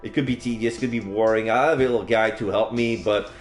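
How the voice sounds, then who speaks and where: A man's voice: lowish voice, steady tone, quick pace, reverberant, soft background music. A man in his forties from French Canada with a French Canadian accent, chatting casually on a live stream, rambling with lots of fillers and restarts. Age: 40s.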